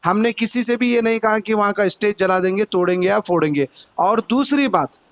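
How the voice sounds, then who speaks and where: A man's voice: high voice, brisk, a bit bright. A man with a Hyderabadi accent, a party activist arguing his case insistently and repeating himself in a phone interview.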